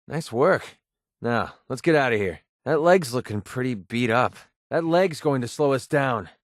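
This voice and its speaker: An actor playing a cynical, wisecracking young mercenary in a video game, with a sardonic, casual, streetwise delivery. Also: male voice, mid-range voice, no room sound, studio quality. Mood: wry. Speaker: video game companion character. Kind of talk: video game voice acting, short scripted barks.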